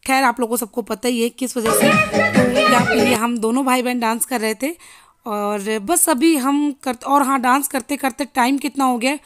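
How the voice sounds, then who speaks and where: A woman in her thirties with a hoarse voice, chatting casually to viewers in a home vlog, filmed on a handheld phone. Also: fairly high pitch, animated, moderate pace, fairly bright tone, soft background music. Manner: chatty, addressing viewers directly. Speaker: vlogger. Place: home kitchen and living room. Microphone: handheld phone selfie.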